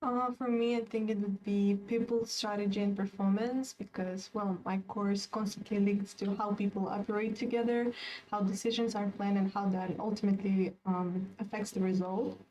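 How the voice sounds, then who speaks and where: Mellow young woman from Kazakhstan, distant. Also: medium pitch, fairly even intonation. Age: young adult. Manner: thoughtful, with drawn-out hums. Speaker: student panelist. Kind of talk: casual webinar discussion. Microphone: video call.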